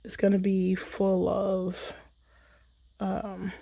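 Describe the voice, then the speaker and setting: Unhurried, mellow-voiced American woman chatting. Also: medium pitch, vocal fry, no echo, clean studio sound. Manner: chatty, relaxed rambling. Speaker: craft livestream host. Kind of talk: casual chat while crafting. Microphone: phone in a holder.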